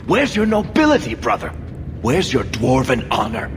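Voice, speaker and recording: Impassioned dwarf in a vast hall. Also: male voice, medium pitch, huge pitch swings, moderate pace, background noise. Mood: hurt, accusing. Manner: impassioned, rhetorical. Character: indignant dwarven younger brother, bold adventurer. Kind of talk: dramatic video game voice acting. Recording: long hall reverb, game music behind.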